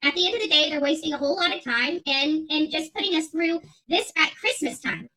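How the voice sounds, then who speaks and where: High, bright-voiced woman ranting on livestream. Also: fairly quick, low-quality recording. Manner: emphatic, repeats phrases, combative. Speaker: YouTube channel host. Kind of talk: unscripted livestream rant, reading and answering viewer chat.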